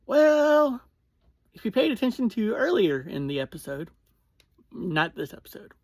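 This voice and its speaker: A reviewer with a mid-range voice talks through a recap in a casual, informal way. The pace is slow, broken into short phrases with self-corrections. Listeners are addressed directly, and the sound is dry with no room echo. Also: medium pitch, very animated. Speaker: podcast reviewer.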